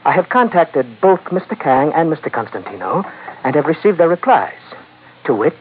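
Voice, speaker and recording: Sly chinese merchant boss, super formal and polite but threatening, old tinny radio show. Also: male voice, fairly high pitch, sing-song. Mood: smooth, businesslike. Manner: formal, elaborately polite, unhurried. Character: suave, sly Chinese merchant crime boss, courteous menace. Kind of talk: old-time radio drama acting. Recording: noisy, tinny vintage radio recording.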